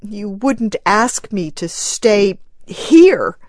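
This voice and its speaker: A woman's voice: mid-range voice, big pitch swings, drawn-out words, a little mellow, no echo, studio quality, voice pushed up. A woman voicing a distraught grieving mother. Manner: choked, pleading, tearful. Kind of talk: audiobook character reading.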